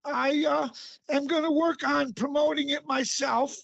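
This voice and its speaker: A middle-aged man with a raspy voice, a guest author talking casually in an interview, halting often with fillers. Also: very high-pitched, flat delivery, a bit bright, dry recording, muffled recording. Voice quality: raspy, gravelly.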